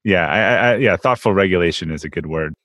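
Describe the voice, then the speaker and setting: A man's voice: low voice, fast, a bit bright, no echo, studio quality. A man hosting an interview, musing aloud and repeating words as he thinks. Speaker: podcast host. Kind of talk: casual interview conversation.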